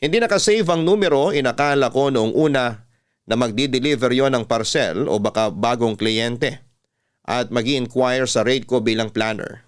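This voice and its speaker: A man's voice: mid-range voice, moderate pace, smooth. A man with a Filipino accent, a radio host reading a listener's letter as a first-person scripted story.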